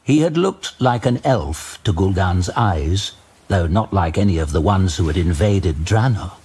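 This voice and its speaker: Guy narrating a dark fantasy story, slow n serious, deepish voice, dramatic, music under it. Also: animated, breathy edge. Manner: measured, grave storytelling.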